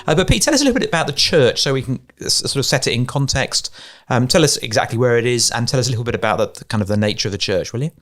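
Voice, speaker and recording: A man hosting a podcast interview, chatty and joking, asking quick follow-up questions. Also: medium pitch, lively intonation, fairly bright tone. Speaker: podcast host. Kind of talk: conversational interview.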